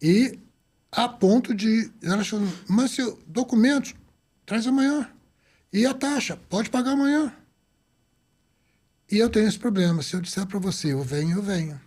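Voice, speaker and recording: A man in his seventies from the Azores, a doctor telling his story in an interview, lively and acting out the dialogue he recalls. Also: high voice, normal speed, long pauses, husky. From Azores, Portugal. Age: 70s. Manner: lively, acts out quoted dialogue. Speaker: doctor guest.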